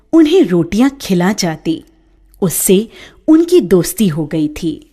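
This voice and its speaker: A woman narrating a village story in a measured, expressive way. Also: medium pitch.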